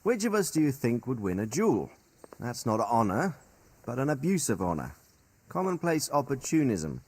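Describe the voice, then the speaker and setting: A seasoned royal commander in a game drama. His speech is measured and articulate, with a very lively, varied melody that carries stern authority. He chooses formal words and sets them out deliberately. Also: mid-range voice, breathy edge. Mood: dry disdain. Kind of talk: dramatic video game cutscene dialogue.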